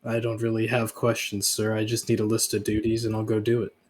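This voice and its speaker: A man playing a laconic, obedient hired gun and veteran in a tabletop roleplay, halting, deferential and deadpan. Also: on the low side, a little hiss or hum, natural voice. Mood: dry, deferential. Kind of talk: improvised in-character tabletop roleplay.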